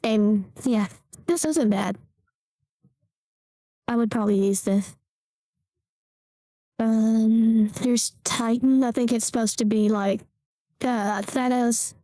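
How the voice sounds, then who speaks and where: A man's voice: high voice, fairly even intonation, slow, pauses often, dark tone, no echo, pitched above the performer's own voice. A man chatting through a voice changer monster preset, commenting on how he sounds.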